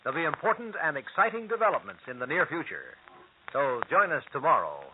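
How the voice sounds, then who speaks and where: An American man announces an old radio serial in scripted style. His mid-pitched voice moves with lively intonation. The recording is tinny with faint hiss. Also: fairly quick. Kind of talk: scripted narration, 1950s radio drama.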